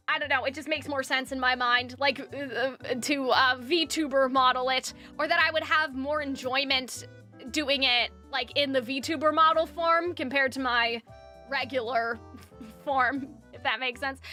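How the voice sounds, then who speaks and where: A woman's voice: sits high, sharp tone. A young adult woman streamer in casual chat, rambling with fillers and restarts, her voice dry from lack of water.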